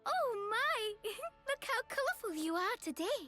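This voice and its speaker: An earnest little fawn spirit for a video game, very high and animated. She is shy and gentle, exclaiming softly over faint music. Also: measured pace, exaggerated voice. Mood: flustered, playful. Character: shy, earnest young female fawn spirit tending dreams.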